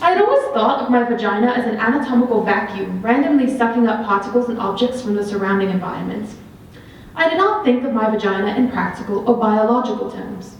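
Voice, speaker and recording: A woman's voice: a little high, lively intonation, fast talker. A young woman delivering a scripted theatrical monologue on stage. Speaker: stage performer. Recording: echoey stage from far off, faint noise.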